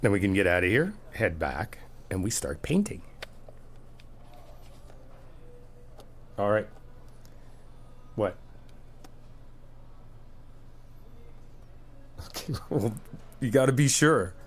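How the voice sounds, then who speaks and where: A man's voice: lowish voice, expressive, moderate pace, long pauses, lightly acted. A man playing an easygoing, encouraging friend in a casual ASMR roleplay, chatting informally and explaining things patiently. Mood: casual, nudging. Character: easygoing, encouraging male friend helping paint an apartment.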